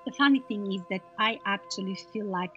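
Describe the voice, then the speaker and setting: A woman's voice: mid-range voice, fast talker, soft background music. Guest speaker, woman from Poland, easy casual chat, laughs a lot, dull recording. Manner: chatty, laughs often, hesitant fillers. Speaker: guest meditation guide. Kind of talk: casual conversation. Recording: dull lo-fi audio.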